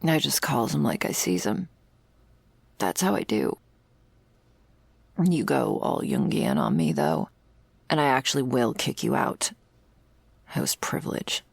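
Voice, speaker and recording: A woman playing a jaded, sardonic servant of vampires in an intimate ASMR roleplay, husky and deadpan, close to the microphone. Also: very deep voice, unhurried, pauses often, no room sound, full character voice. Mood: teasing, dry. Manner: dry, deadpan, sarcastic, foul-mouthed. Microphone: close, dry microphone.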